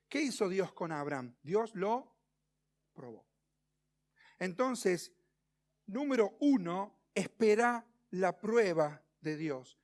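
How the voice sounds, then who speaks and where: A man with an Argentine accent preaching a sermon in a didactic way, using rhetorical questions and emphatic pauses. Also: high-pitched. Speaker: pastor preaching. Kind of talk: expository sermon.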